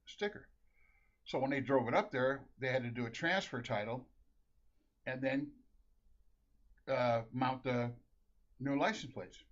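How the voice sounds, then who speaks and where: A middle-aged man from Minnesota telling a rambling family anecdote, laughing often and restarting his sentences. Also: mid-range voice. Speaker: storyteller. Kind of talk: casual anecdote. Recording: dull lo-fi recording.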